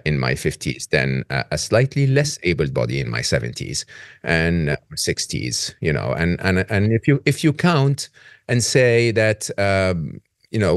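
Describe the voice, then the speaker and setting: Deep-voiced man in his fifties, reflective. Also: no echo, studio quality. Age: 50s. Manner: reflective, candid, trailing off with fillers. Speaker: podcast host. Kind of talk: casual conversational interview. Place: home office with bookshelves. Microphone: video call.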